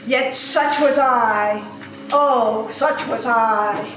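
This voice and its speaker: Woman acting slow, mournful chained ghost, high voice. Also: over music. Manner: slow, solemn declamation. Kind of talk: amateur dramatic reading. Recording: very echoey room, faint background noise.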